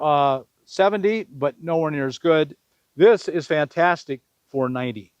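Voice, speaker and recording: A man's voice: fairly high pitch, no room sound, dull audio. A man hosting a casual product review, talking plainly to viewers and repeating words for emphasis. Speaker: video host reviewing products.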